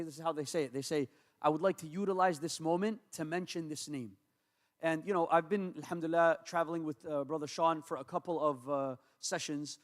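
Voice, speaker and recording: A man born and raised in America, an imam preaching in a mosque, with rapid Arabic invocations and earnest English. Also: fairly high pitch. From United States. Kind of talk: mosque talk with Arabic recitation. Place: large mosque hall. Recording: long hall reverb.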